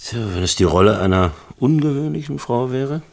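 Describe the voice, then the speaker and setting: An actor in a radio drama playing a brooding, tender film artist who talks in a hesitant, musing way. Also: male voice, expressive, brisk. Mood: tender, wistful. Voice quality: deep, creaky. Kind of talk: German radio drama acting.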